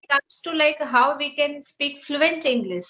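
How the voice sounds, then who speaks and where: High, bright-voiced young Indian woman. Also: unhurried. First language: Maithili. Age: 20s. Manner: chatty, often says "ma'am", laughs while talking. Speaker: schoolteacher. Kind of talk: casual conversation practice.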